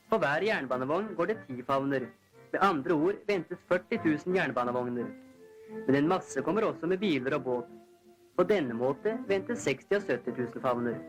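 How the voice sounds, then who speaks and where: A man's voice: on the high side, low-quality recording. A man narrates a 1940s newsreel. His delivery is formal and declamatory, read from a script. It sounds like a vintage film soundtrack with music faintly behind him. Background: newsreel background music.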